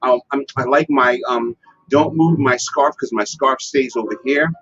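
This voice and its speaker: A man's voice: mid-range voice, a little slow. A man hosting a casual advice livestream, talking with many fillers and restarts. He says himself that he has a strong voice. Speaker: host. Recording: lo-fi with music behind. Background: guitar music.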